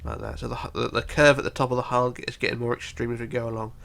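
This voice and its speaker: Guy doing a casual game tutorial, croaky creaky voice, bit bunged up, counts everything out. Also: mid-range voice, little pitch movement, fast, a bit bright. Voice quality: congested, bunged-up nose. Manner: counts aloud methodically. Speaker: tutorial host.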